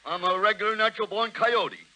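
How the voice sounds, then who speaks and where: Boastful feral cowboy boy, sharp voice, Western drawl, noisy old radio recording. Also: high voice, brisk. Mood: defiant. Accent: American Western drawl. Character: wild overgrown boy raised by coyotes, stubborn, boastful.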